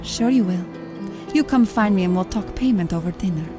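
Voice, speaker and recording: A woman's voice: mid-range voice, a little fast, over music, close to an everyday voice. Actress as a cool, sardonic female crime boss. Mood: coolly assured. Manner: sardonic, self-assured. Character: cool, teasing female crime boss who hires killers. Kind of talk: dramatic audio drama acting.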